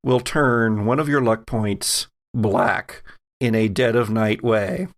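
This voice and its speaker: Measured man running a tabletop game, slightly gravelly. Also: lowish voice, fairly even intonation. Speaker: game master. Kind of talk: narrating a tabletop roleplaying podcast.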